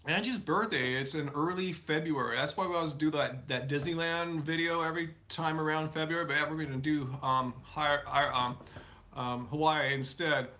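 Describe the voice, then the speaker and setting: Bright-voiced man hosting a casual live Q&A. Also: fairly high pitch, fairly even intonation, moderate pace. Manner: rambling, answers viewer chat questions with many fillers and restarts. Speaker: live stream host. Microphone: live stream setup.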